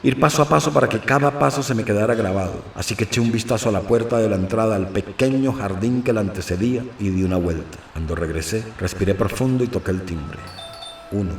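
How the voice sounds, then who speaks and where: A man's voice: on the low side, fast, soft background music, lightly acted. A man with a Colombian accent narrating an audio novel in the first person as a brooding, anxious character. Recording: roomy, faint noise, distant mic.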